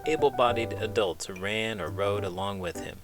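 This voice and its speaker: A man reading a children's book aloud in an expressive, emphatic, measured narrating style. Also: fairly low pitch, over music. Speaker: children's book reader, teacher.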